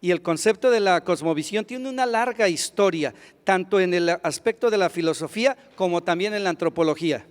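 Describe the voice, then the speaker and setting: High-voiced interpreter in a hall. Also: male voice. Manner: quick, with hesitant fillers and restarts. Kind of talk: consecutive interpretation of a lecture. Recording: long hall reverb.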